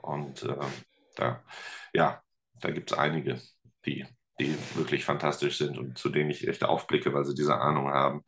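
A man, a teacher and guitarist, talking as a podcast guest in a relaxed, hesitant way, with many fillers. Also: a bass voice, moderate pace, gravelly, slight background noise. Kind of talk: casual interview talk.

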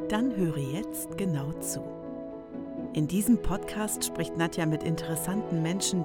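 A woman with a low-pitched voice delivers a scripted podcast introduction. Loud new-age music plays behind her throughout.

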